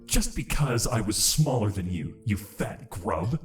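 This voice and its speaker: A man's voice: lowish voice, animated, a little slow, roomy sound. A man voicing a scrawny young male changeling, bitter and forlorn, muttering resentfully in a comic fantasy audio drama. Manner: muttering, sullen. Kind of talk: dramatic comedy fan fiction reading.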